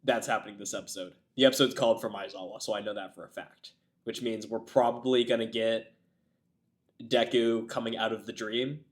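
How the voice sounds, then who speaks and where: A man hosting an anime reaction video, chatting casually while getting over a cold, his voice raspy and a bit nasal, rambling as a self-described yapper. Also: fairly low pitch, fairly even intonation, a little fast. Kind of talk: casual chat to camera.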